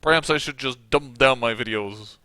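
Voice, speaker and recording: A man's voice: medium pitch, moderate pace, sharp tone, clear, resonant tone, no room sound. A Dutch man with a Dutch accent, hosting a let's play and rambling in chatty, self-mocking commentary. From Netherlands. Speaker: gaming channel host.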